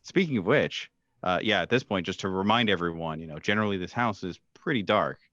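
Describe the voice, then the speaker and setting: A man with a low voice runs a tabletop horror game as game master. He narrates haltingly, pausing as he improvises descriptions. The sound is dry and slightly dull and lo-fi. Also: animated, a bit bright, dry recording. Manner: halting, with many pauses.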